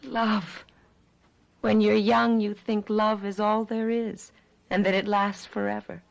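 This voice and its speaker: An actress playing an earnest, world-weary immortal young woman in a radio drama, speaking in a deliberate, dramatic way. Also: medium pitch, flat delivery, creaky. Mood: melancholy, earnest.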